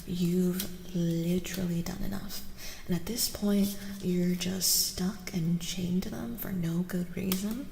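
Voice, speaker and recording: A woman speaking in a soft, gentle ASMR style as she chats casually with livestream viewers, often dropping into whispers. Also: on the low side, steady tone, slow pace, creaky, roomy sound, long reverb tail, a little hiss or hum. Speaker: livestreamer giving tarot readings.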